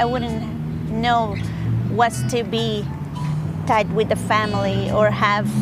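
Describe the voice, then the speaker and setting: A woman from Honduras, a native Spanish speaker with a Honduran accent, giving a personal interview account. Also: fairly high pitch.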